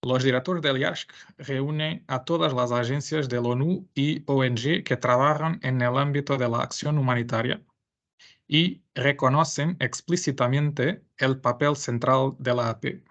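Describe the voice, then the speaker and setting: A man with a Portuguese accent giving a webinar presentation in Spanish, his second language. His voice is gravelly and sits at a middle pitch. He speaks carefully and sometimes stops to restart a phrase. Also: steady tone, a bit bright, dull audio. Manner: careful, slightly halting non-native Spanish. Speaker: webinar presenter, information management adviser.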